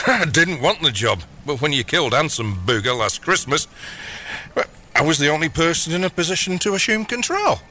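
Stammering, flustered gangster dad, wildly swooping voice. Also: male voice, on the high side, big pitch swings, moderate pace, bright, forceful tone, slight background noise. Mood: placating, defensive. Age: middle-aged. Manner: hesitant, flustered, placating. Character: bumbling, evasive long-absent dad turned gangster boss. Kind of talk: audio comedy drama acting.

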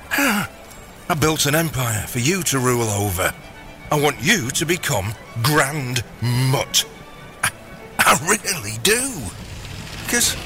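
Scheming villain dad man acting, hugely swoopy bright voice, gleeful and grandiose, comedy audio drama. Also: fairly high pitch, full character voice. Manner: theatrical, wheedling, grandiose. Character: gleeful megalomaniac villain, doting scheming father.

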